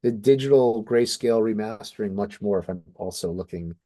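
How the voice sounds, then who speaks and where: A man co-hosting a casual talk about comic books, speaking as an excited fan who swears mildly. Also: on the low side, fairly quick. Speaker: podcast co-host. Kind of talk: casual enthusiastic comic book discussion.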